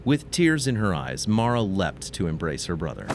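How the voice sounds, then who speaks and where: A man's voice: low voice, very animated, normal speed, slight background noise. A man narrating a scripted audio story in a dramatic, slightly wry manner.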